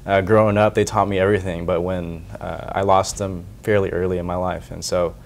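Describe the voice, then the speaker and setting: Soft-spoken young man with a deep voice. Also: flat delivery, brisk, gravelly, a little hiss or hum. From United States. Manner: soft-spoken, earnest, with frequent fillers and restarts. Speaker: senate staffer for community outreach. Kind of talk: interview answers, earnest and grateful.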